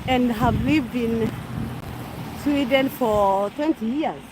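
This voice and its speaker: A woman's voice: a little high, takes it slowly, background noise. A woman in her forties, born in Kenya with Kikuyu as her first language, chatting unhurriedly on a walking livestream with frequent restarts, speaking into a phone held as she walks outdoors. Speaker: livestream host. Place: outdoors on a winter street.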